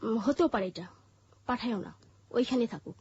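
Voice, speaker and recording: A woman with a Bangladeshi accent and a fairly high, husky voice recounts her own life. She speaks haltingly, pausing and repeating phrases as an interview guest. The recording is slightly muffled and lo-fi. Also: expressive, a little mellow. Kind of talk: personal life-story narration in an interview. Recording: muffled, dull lo-fi audio.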